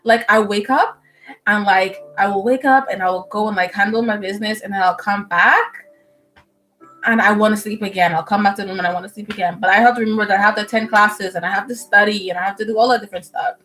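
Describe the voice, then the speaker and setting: A young woman from the Bahamas chats loosely with her livestream audience, her voice sitting at a middle pitch. She talks in an animated way with plenty of fillers and easy laughter. Soft music plays quietly behind her in a room at home. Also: fast talker, poor audio. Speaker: medical student livestreamer. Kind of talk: casual livestream chat with viewers. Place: indoors at home.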